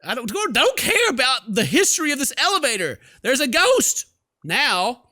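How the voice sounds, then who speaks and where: Animated, high-pitched male gaming host. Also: moderate pace, dry recording, studio quality. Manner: playful, exclamatory, theatrical. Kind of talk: animated let's play commentary, reading game text aloud. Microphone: close microphone at a desk. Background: faint game music.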